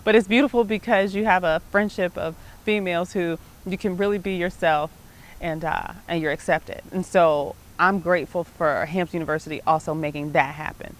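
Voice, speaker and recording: A woman's voice: on the low side, fairly even intonation, normal speed. A middle-aged American woman hosting her show, telling personal stories about life and faith in a conversational, emphatic way. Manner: conversational, emphatic, often checking in with "right?". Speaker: show host.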